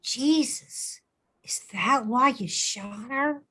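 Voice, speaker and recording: Actress as an exasperated, worried best friend in a screen drama, slightly high voice with drawn-out, urgent delivery. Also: female voice, bright, forceful tone, breathy edge, heavily acted. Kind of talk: screenplay table read acting.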